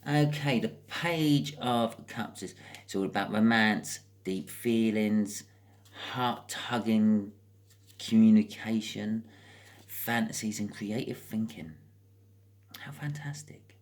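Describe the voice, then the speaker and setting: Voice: mid-range voice, slow pace. British tarot reader, chatty and wry. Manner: chatty, thinking aloud with wry asides. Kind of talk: casual improvised tarot card reading.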